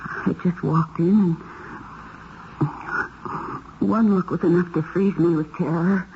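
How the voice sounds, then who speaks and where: Frightened wife under suspicion, radio mystery: low female voice, hesitant phrasing, muffled 1970s broadcast sound. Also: steady tone. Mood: frightened, halting. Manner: hesitant, halting delivery. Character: shaken married woman under suspicion, hesitant and fearful. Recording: dull, hissy 1970s radio recording.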